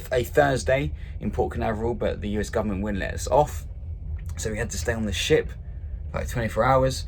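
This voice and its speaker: A man's voice: fairly low pitch, a little hiss or hum. A man working as cruise ship crew, talking casually to camera and rambling through restarts and fillers. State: rough voice just after a nap. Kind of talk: casual vlog talk to camera.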